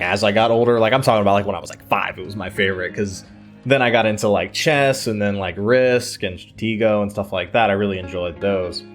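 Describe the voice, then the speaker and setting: A man telling personal stories casually to his online viewers, digressing, hesitating and circling back as he goes. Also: on the low side. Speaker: YouTube storyteller. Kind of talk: casual rambling personal storytelling.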